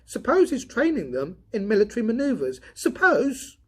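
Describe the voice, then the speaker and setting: A man reading an audiobook, voicing an excitable, weary, speculative robot technician with an emphatic, agitated delivery. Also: very high-pitched, normal speed, breathy edge, voice pushed up, exaggerated voice. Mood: eager theorizing. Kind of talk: audiobook character dialogue.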